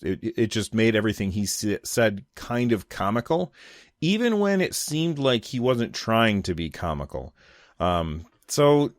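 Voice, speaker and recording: An American man with a fairly low voice hosts a casual solo gaming commentary. He thinks aloud in loose, unscripted phrases, talking conversationally. The sound is dry and close, with little room in it. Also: no room sound. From United States. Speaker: podcast host giving gaming commentary.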